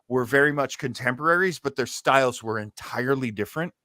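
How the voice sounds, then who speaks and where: A man's voice: medium pitch, a little fast, sharp tone, no echo. Dry, close-sounding man chatting. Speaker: host of an old radio show. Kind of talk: casual chat and commentary.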